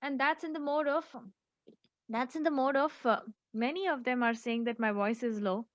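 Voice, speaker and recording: A woman teaching an online class over a video call, speaking haltingly with many fillers and restarts. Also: sits high, dry recording, highs cut off.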